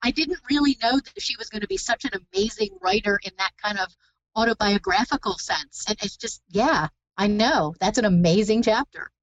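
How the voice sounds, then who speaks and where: A woman's voice: fairly high pitch, big pitch swings, fairly quick, muffled recording. A woman talking about a book in a casual podcast chat, thoughtful and analytical.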